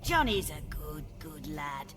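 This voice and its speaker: Slow, swooping, stern old granny. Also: female voice, low-pitched, sing-song, music in the background, full character voice. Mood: brooding. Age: older. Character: stern, protective old village granny, slightly eerie. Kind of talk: video game character acting.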